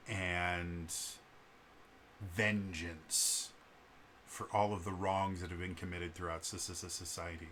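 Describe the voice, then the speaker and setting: A man with a stutter talks straight to the camera in an unscripted vlog, earnest and full of restarts. His voice sits fairly low and carries a bright, forceful edge. Faint background noise hangs behind him. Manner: earnest, frequent fillers and restarts. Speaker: vlogger.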